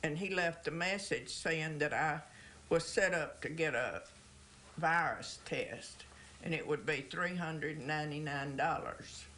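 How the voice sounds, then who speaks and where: A woman interviewee with a bright, forward voice. She tells her own story in plain words, pausing and picking up again in an unscripted way. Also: sits low, slight background noise. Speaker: interviewee recounting an experience.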